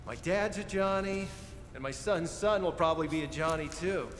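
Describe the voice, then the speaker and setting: An actor playing a friendly, lonely Soviet prison guard in a cinematic game, earnest, hesitant and chatty. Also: male voice, a little high, normal speed, fairly bright tone, faint music behind, lightly acted. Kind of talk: cinematic video game acting. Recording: echoey reverberant cell, faint noise.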